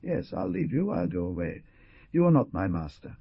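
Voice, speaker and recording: A man's voice: low-pitched, sing-song, normal speed, vocal fry, husky, no echo, heavily acted. A man voicing a desperate older peasant mother who pleads tearfully, in a dull lo-fi recording. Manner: tearful, imploring.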